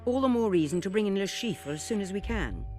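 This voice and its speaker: A woman's voice: medium pitch, animated, a little fast, warm tone, natural voice. A woman playing an authoritative, clipped female intelligence chief in a video game drama. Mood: businesslike briefing. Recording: music under the voice.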